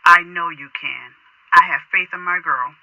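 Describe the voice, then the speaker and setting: A woman's voice: lowish voice, moderate pace, bright, forceful tone, dry recording, rough recording. An actress playing a middle-aged, loving, devout mother, tender and reassuring, through a telephone filter in an audio drama. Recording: thin dry telephone sound.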